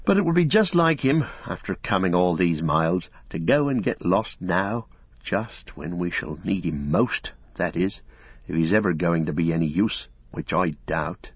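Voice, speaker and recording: Low gruff hobbit guy, grumbly and folksy, man doing the voice, muffled lo-fi sound. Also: animated, normal speed, dry recording, heavily acted. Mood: grumbling. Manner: grumbling, folksy and plain-spoken. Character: gruff, blunt, loyal young male hobbit servant. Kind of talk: audiobook character voice. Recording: dull, dry lo-fi recording.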